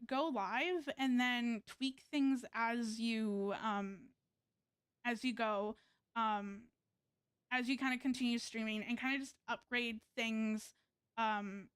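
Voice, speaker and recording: A woman's voice: on the high side, a bit bright, clean tone, dry recording, studio quality. A woman live streamer chatting casually to viewers while she plays a game, hesitant and thinking aloud as she goes.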